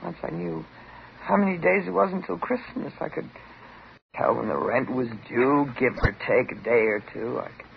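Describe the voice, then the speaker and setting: An actress playing a bitter, neurotic psychiatric patient, sardonic and intense, in a 1950s radio drama. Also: female voice, low voice, fairly even intonation, normal speed, gravelly. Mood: anguished. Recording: muffled, noisy 1950s radio recording.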